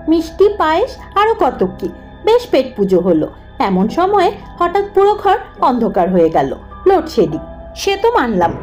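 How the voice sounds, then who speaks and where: A woman narrating a scripted horror cartoon story in the first person, dramatic and expressive. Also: very high-pitched.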